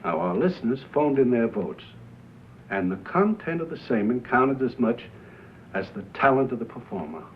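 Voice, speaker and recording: An actor playing a prim, earnest American small-town radio station owner, polite, literal-minded and hesitant, in a 1950s film drama. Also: male voice, medium pitch, sing-song, normal speed, lightly acted. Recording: dull noisy 1950s film soundtrack.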